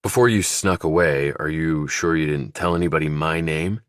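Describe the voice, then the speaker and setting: A man's voice: rumbling low, normal speed, fairly bright tone, dry recording, studio quality. A man voicing a tough, guarded young ex-army fighter with a terse, blunt manner in an audiobook. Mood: probing, blunt.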